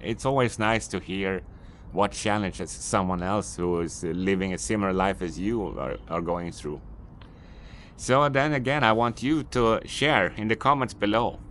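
Swedish guy ranting a bit outdoors, lowish voice, faint street noise behind, english is his second language. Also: a little slow, fairly bright tone. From Sweden. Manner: rambling, repetitive, mildly annoyed advice-giving. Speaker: vlogger, business owner. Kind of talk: unscripted vlog monologue.

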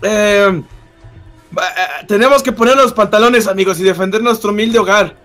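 A man playing a high-voiced nervous little boy. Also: normal speed, a bit bright, soft background music. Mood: nervous, resolute. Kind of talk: improvised Minecraft roleplay.

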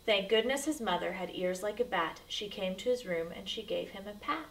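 A woman's voice: medium pitch, lively intonation, normal speed, recorded from a distance, a little hiss or hum. A woman reading a rhyming picture book aloud to children as a storyteller, with dramatic, emphatic delivery.

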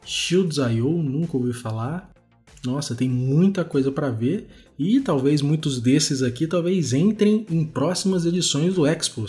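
Man, Brazilian accent, soft-edged mellow tone, medium pitch, casual screencast host, faint music behind. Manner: informal, conversational, demonstrating as he goes. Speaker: host of a web development video show. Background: background music.

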